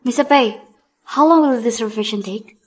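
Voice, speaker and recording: A woman acting a young, deferential but privately exasperated assistant in a dubbed romantic drama, with a husky voice. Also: a little high, normal speed, close to an everyday voice. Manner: polite, deferential.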